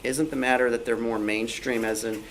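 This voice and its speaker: A man's voice: mid-range voice, little pitch movement, normal speed. A man speaking informally on a panel at a club meeting, explaining things with examples and asides. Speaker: club newsletter editor, panelist. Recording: noticeable background noise.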